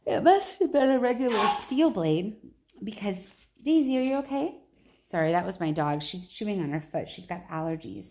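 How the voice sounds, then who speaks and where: A woman's voice: mid-range voice, lively intonation, moderate pace, noisy background. A woman reading a children's novel aloud at home like a teacher, with informal asides to her listeners.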